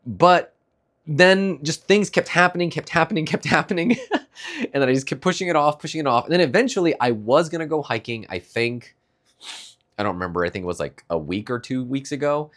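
A man's voice: fairly high pitch, lively intonation, fairly quick, a bit bright. A young man with a stuffy nose giving a casual, rambling morning check-in, laughing often between thoughts. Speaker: streamer.

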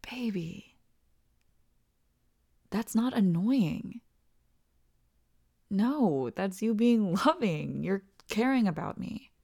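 A woman voicing a tender, devoted young girlfriend in an ASMR roleplay, soft and reassuring and often slipping into whispers. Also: mid-range voice, expressive, drawn-out words, creaky, pauses often, heavily acted.

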